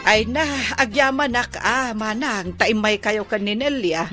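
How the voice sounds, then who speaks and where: A woman's voice: medium pitch, measured pace, over music, close to an everyday voice. A woman with an Ilocano accent playing a chatty, gossipy, stingy neighbor in a radio drama.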